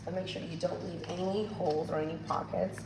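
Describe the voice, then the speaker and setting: Husky low-voiced hair educator, echoey. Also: female voice, fairly even intonation, a little fast. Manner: chatty, addresses viewers as "you guys". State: recovering from losing her voice. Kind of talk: hands-on tutorial demonstration. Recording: echoey room, distant mic, noisy.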